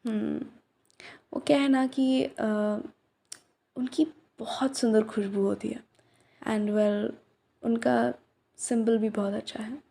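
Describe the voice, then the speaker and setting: A woman in a soft-spoken roleplay, playing a young flower shop owner who teases gently and drops into breathy whispers. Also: a little high, fairly even intonation, takes it slowly, pauses often. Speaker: voice actress in a listener roleplay. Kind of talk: ASMR roleplay, one side of a conversation.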